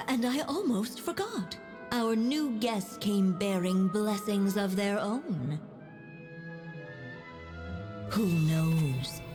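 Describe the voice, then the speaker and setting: A woman playing a composed, wistful witch who speaks in a measured, formal way in a video game. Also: mid-range voice. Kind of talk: video game character dialogue. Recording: music behind the voice.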